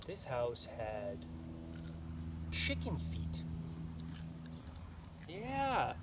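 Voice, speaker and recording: A man telling a folktale live to children in an expressive, dramatic manner, pausing to ask his listeners questions. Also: medium pitch. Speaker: storyteller. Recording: noisy live recording, clear background noise.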